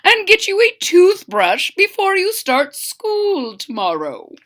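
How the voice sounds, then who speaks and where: A woman's voice: extremely high pitch, huge pitch swings, slow, fairly bright tone, pitched above the performer's own voice, full character voice. A woman voicing a loving, proud crocodile mother in a storybook read-aloud, encouraging and motherly. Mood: caring, cheerful.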